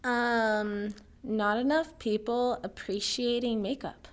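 A woman playing an eccentric, self-assured schoolgirl in a writing class for a radio drama, earnest and deliberate. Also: on the high side, fairly even intonation.

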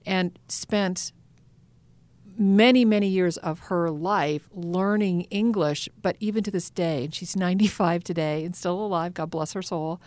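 Middle-aged woman co-host with a lowish voice. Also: measured pace, no room sound. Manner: warm, affirming listener, laughs easily. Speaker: podcast co-host. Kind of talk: casual chat and scripted outro.

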